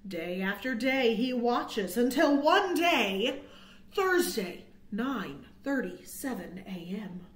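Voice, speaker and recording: A middle-aged woman hosts a children's storytime, reading a picture book in a high voice that swings widely in pitch. She takes her time, leaning into dramatic emphasis and adding small asides for young listeners. The recording has a roomy indoor echo. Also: very animated, slow pace, a little hiss or hum. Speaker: children's librarian, storytime host. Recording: roomy, echoing indoor sound.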